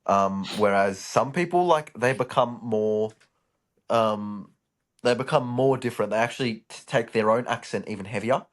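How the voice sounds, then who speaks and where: A man's voice: on the low side, normal speed. A man co-hosting a podcast in a laid-back casual chat, teasing and bantering with his co-hosts. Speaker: podcast co-host.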